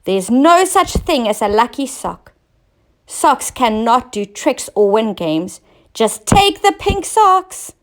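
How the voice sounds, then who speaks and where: A woman's voice: on the high side, sing-song, takes it slowly, pitched above the performer's own voice. A woman voicing a quarrelsome, bossy queen in a children's storybook, scolding and demanding. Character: quarrelsome, bossy queen, brusque but helpful. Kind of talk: children's storybook character reading.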